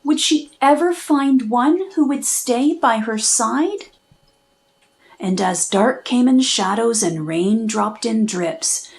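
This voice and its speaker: A woman reading a children's storybook aloud, narrating expressively in measured, rhythmic rhyme. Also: fairly high pitch, darkish tone. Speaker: children's book reader and host.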